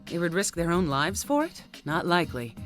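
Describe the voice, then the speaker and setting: A woman playing a commanding, sceptical female cyborg squad leader in an anime game dub, brisk, dry and authoritative. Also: lowish voice, sing-song, a bit bright, faint music behind. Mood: suspicious.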